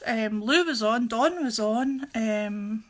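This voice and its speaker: A woman's voice: on the high side, takes it slowly, slight background noise. A woman with a Scottish accent chatting casually and jokily as she hosts a live craft stream, rambling as she goes.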